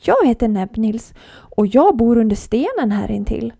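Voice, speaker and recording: A tiny, eager shrew in a storybook, voiced by a woman, with a high squeaky voice that jumps up well above her own. The tone is mellow and the sound is dry and close. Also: very animated, vocal fry, no echo, heavily acted. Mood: helpful. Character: tiny cute male shrew, eager and helpful.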